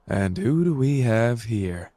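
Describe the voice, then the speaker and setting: A man's voice: lowish voice, lively intonation, slow pace, warm tone, dry recording. A man playing a casual rival sorcerer in an anime roleplay. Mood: smug, casual. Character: male sorcerer, smoothly casual rival dropping in. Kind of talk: anime fan roleplay acting.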